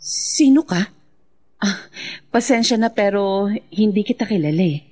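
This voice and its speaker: A woman's voice: mid-range voice, expressive, unhurried, warm tone. A woman with a Filipino accent playing a grieving, devout young widow in a radio drama, earnest and emotional. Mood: subdued.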